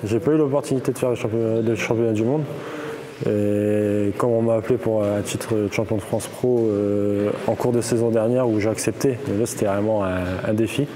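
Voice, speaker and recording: A man's voice: on the low side, fairly even intonation, normal speed, mellow, a little hiss or hum. A man in his twenties, a competitive boxer, answers interview questions in a plain, matter-of-fact way. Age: 20s.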